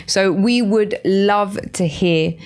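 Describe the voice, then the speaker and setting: A woman's voice: mid-range voice, takes it slowly, a little mellow. A woman presenting an educational radio show as host, addressing listeners directly with questions and prompts.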